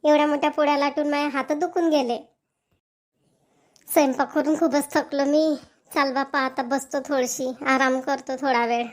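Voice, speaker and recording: A woman acts out a chatty village wife from a homely Marathi family cartoon. Her voice is high, with a Varhadi accent from Vidarbha. She talks in a plain, conversational way, like everyday family dialogue. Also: fairly even intonation, normal speed, natural voice. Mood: weary, complaining.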